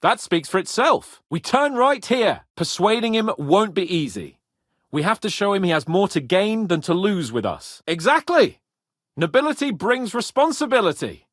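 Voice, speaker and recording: A man's voice: high voice, very animated, normal speed, no echo, studio quality. A man voicing a cocky, boastful young noble lord in a medieval video game, with a pompous, spirited manner. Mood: lordly, confident.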